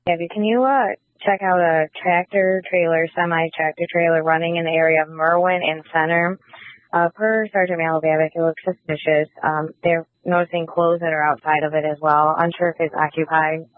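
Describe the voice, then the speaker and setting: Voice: medium pitch, fairly even intonation, moderate pace, no echo. A police dispatcher relays details to units. The voice comes dry through a narrow, tinny two-way radio signal.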